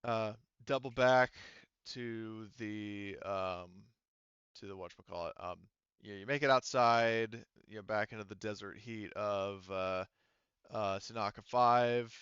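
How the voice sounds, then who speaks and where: Male game master, smooth and fairly low, hesitant tabletop narration, dry dull recording. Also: fairly bright tone, no echo. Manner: halting, hesitant, often trailing off and restarting.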